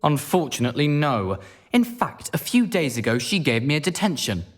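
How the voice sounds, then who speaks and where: A man playing an eager, reckless teenage schoolboy tinkerer in a video game, earnest and excitable. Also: fairly high pitch, normal speed. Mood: rueful. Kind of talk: video game character acting.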